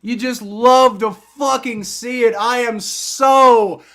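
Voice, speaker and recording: A young man hosting a live sports fan stream, shouting and cheering with a voice strained from yelling, swearing freely. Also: very high-pitched, steady tone, takes it slowly. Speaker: sports fan livestream host. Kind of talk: excited live fan reaction.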